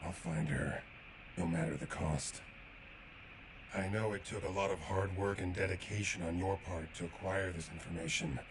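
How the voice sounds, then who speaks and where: Deep, solemn sci-fi warrior. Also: male voice, fairly even intonation, creaky, background noise. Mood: resolute, then grateful, solemn. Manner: earnest, solemn, measured. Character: grave, devoted male sci-fi warrior grieving lost comrade. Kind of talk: video game quest dialogue.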